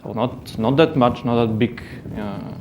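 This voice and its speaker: A man's voice: medium pitch, fairly even intonation, measured pace, darkish tone, slight background noise. A man with a Bulgarian accent giving a technical conference talk, hesitant, with many fillers and restarts. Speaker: conference speaker. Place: conference hall.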